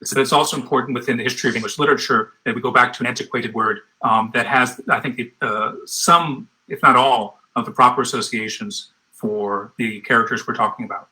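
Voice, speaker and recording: A man's voice: mid-range voice, steady tone, fairly quick, bright, forceful tone, rough recording. A man speaking as a translator on a discussion panel, thoughtful and hesitant, with many fillers.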